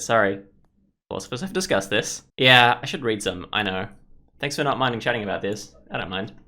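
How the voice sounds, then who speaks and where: A man's voice: mid-range voice, sharp tone. A man playing through a story game, casually commenting and reading its text aloud, often thinking aloud. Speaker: gaming YouTuber.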